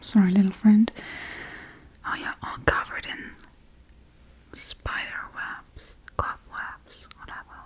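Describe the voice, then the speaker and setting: Lady doing a witchy asmr roleplay, soft whispery murmur, super slow, kinda teasing and curious. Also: mid-range voice, level tone. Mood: apologetic, curious. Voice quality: breathy whisper. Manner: murmuring, unhurried, muttering to herself. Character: spooky Halloween witch, softly curious, gently teasing, apologetic. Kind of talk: soft-spoken ASMR role-play.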